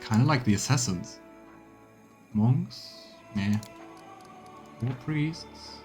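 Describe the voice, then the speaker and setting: A man's voice: mid-range voice, lively intonation, extremely slow, darkish tone. Casual man streaming a game over music. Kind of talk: let's play commentary, reading game text aloud.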